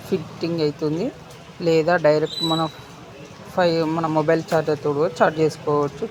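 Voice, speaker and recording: A man's voice: fairly high pitch, background noise. A man gives an informal, step-by-step product demonstration, often repeating phrases as he instructs. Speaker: product unboxing presenter.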